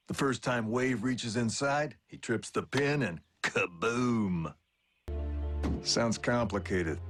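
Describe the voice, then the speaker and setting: A cocky battle-worn robot soldier from a cartoon, wry laconic tough guy, music playing behind. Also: on the low side. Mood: dry, scheming. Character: Wheeljack, cocky roguish battle-hardened male robot warrior. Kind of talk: animated series voice acting.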